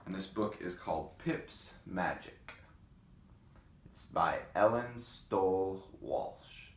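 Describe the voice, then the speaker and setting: A man reading a picture book aloud to children, with gentle, chatty asides to young listeners. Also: sits low, expressive, slow, roomy sound. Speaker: read-aloud host.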